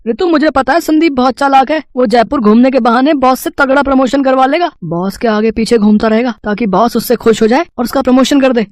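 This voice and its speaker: A man's voice: very high-pitched, brisk, hardly any pauses, no room sound, studio quality, pitched above the performer's own voice. A man voicing a jealous, fretting office colleague who complains in an agitated way, in a cartoon story. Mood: jealous, anxious. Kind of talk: Hindi moral story cartoon acting.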